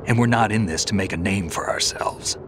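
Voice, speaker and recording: An actor in a video game cutscene playing a gruff, gravelly, world-weary veteran soldier who talks tersely and dryly. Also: male voice, on the low side, brisk, background noise, close to an everyday voice. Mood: grave, resolute. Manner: terse, dry, unflappable.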